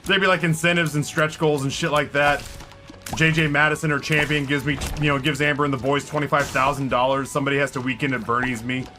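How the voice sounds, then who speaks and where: A man in his thirties streaming and commenting on a game in a rambling, self-mocking way, his voice hoarse after hours of talking. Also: fairly high pitch, little pitch movement, quick pace, bright, forceful tone, soft background music. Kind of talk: live gameplay commentary. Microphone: headset with headphones.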